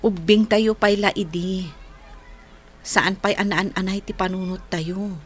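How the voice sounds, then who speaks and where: An actress with an Ilocano accent playing a gentle, forgiving and modest young woman in a radio drama. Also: mid-range voice, steady tone, measured pace, lightly acted.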